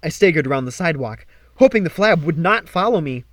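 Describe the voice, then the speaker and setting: A man reading a novel aloud as an audiobook narrator, with an expressive, dramatic delivery. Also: on the high side, fast talker, no echo. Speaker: amateur audiobook reader.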